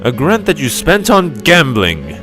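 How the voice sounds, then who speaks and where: A man's voice: fairly high pitch, wildly swooping pitch, a little slow. A man voicing a clever, smug scholar with a pedantic, drily sarcastic manner, in a comedic fan dub. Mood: smug, dry. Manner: sardonic, pedantic, articulate. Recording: music behind the voice.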